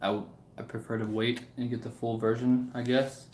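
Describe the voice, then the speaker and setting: A man's voice: mid-range voice, flat delivery, normal speed, a little mellow, a little hiss or hum. Man chatting casually in an echoey room. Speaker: livestream host, musician. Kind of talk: casual livestream chat with viewers. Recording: roomy, echoing room sound.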